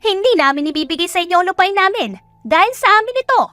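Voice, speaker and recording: A woman acting a feisty, indignant young farm woman who speaks forcefully in an audio drama. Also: very high-pitched, animated, fast talker, no echo, faint music behind, voice pushed up, exaggerated voice. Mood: angry, defiant. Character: feisty, defiant 25-year-old village farm woman. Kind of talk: Tagalog audio drama dialogue.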